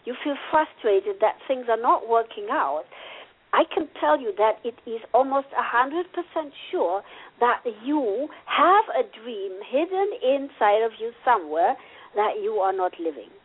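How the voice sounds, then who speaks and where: A woman's voice: on the high side, lively intonation, measured pace, slightly rough. A woman with a German accent, an author chatting earnestly in an interview and laughing often. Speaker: author and guest. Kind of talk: casual interview chat. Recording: phone-like radio audio.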